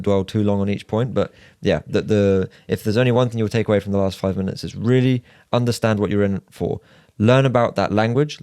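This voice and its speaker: Low-voiced man giving structured advice. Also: a little mellow, no room sound, clean studio sound. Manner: structured, advising, lays out numbered points. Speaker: podcast co-host. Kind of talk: casual podcast discussion.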